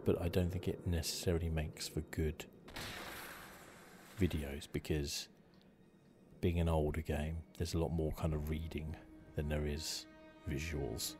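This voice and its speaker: A man giving casual ASMR commentary on a game playthrough in a hushed, rambling, musing manner. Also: rumbling low, level tone, measured pace, music in the background. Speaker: gaming video host.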